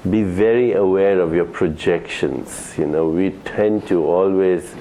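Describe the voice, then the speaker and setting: Low soft-toned guy teaching spiritual stuff, halting and deliberate, some background noise. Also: rough recording. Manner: deliberate, halting, pauses between phrases. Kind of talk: informal talk, summarizing points to an audience.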